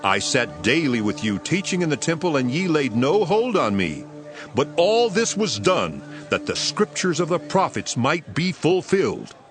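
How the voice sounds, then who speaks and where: Holy teacher, solemn, sorrowful, authoritative, lively intonation, scripture audio drama, musical underscore. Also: male voice, a little high, over music. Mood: firm authority. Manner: solemn, measured declamation.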